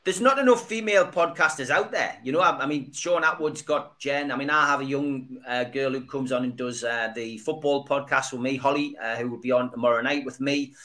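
Jokey podcast host. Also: male voice, mid-range voice, brisk, hardly any pauses, sharp tone. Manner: jokey, chatty, reads viewer comments aloud. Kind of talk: casual chat.

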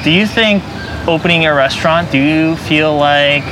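A man in his twenties interviewing, asking questions with quick restarts. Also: on the high side, noisy background. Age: 20s. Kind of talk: casual interview.

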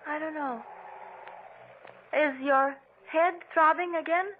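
A woman's voice: high voice, fairly even intonation, slow. An actress playing an earnest, frightened woman menaced by a murderous man in a dramatic old-time radio thriller. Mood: anxious, hurt. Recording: tinny, noisy vintage radio recording.

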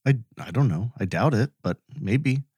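A man's voice: medium pitch, lively intonation, moderate pace, a little mellow, husky, no room sound, clean studio sound. A man co-hosting a podcast, chatting informally, asking questions and thinking aloud in a tentative way. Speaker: podcast co-host. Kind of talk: casual chat, reacting and questioning.

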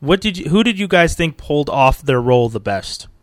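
A man hosting a casual movie review chat, rambling with restarts and quick laughs. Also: a little high, lively intonation, no echo, clean studio sound. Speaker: podcast host.

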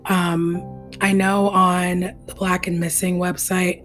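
A woman's voice: fairly low pitch, level tone, slow, a bit bright, faint music behind. A woman co-hosting a casual true-crime podcast, talking earnestly and chattily and laughing easily. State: hoarse, losing her voice. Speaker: podcast co-host.

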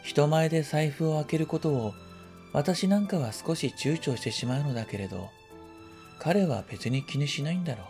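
Mellow-voiced man reading a reflective story. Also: a little high, expressive, moderate pace, faint music behind. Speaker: story reader. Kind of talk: scripted literary narration, first-person radio drama reading.

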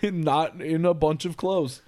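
A man's voice: fairly high pitch, steady tone, slow, a little mellow. A man in his late twenties co-hosting a podcast, chatting casually, rambling, laughing often and swearing freely. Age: late 20s. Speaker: podcast co-host. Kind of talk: casual chat.

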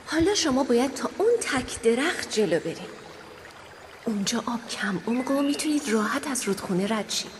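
A gentle fairy voiced by a woman guides and encourages in a children's radio play. Her voice is slightly high and lilting, measured and instructive, over faint ambient sound. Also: animated, a little hiss or hum, lightly acted. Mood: gentle, guiding. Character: kind, guiding fairy angel, gentle and encouraging.

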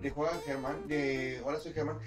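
Male podcast host, slightly high voice, measured and explanatory, guitar music clearly audible. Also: little pitch movement. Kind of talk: casual podcast chat.

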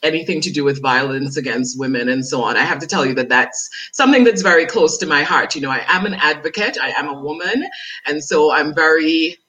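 A woman with a crisp, bright voice speaks as a guest in a relaxed interview. She talks in a lively way and laughs easily between phrases, like an actress chatting about her work. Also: sits low, a little fast, low-quality recording. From Dominica by heritage, raised elsewhere. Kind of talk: casual interview chat.

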